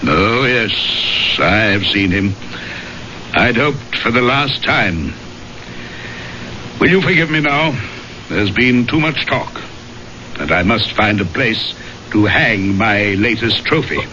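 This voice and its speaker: An actor playing a proud, grim baronet in a radio drama, speaking formally and haughtily. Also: male voice, lowish voice, animated, slow pace, pauses often, sharp tone, heavily acted. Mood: proud, bitter. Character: proud, grim English baronet, powerful and embittered. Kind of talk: 1970s radio drama acting. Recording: muffled vintage radio broadcast, faint noise.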